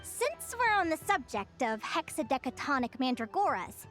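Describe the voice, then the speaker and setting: High, cheerful young naturalist teacher. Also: female voice, big pitch swings, moderate pace, soft background music. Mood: upbeat, teacherly. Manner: bright, encouraging, teacherly. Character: cheerful young female naturalist lecturing to children. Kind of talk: video game voice acting.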